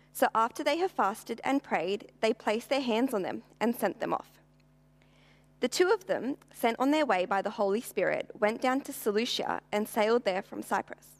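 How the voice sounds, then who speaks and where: A woman reading scripture aloud in a measured, formal and deliberate manner. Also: a little high. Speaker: Bible reader.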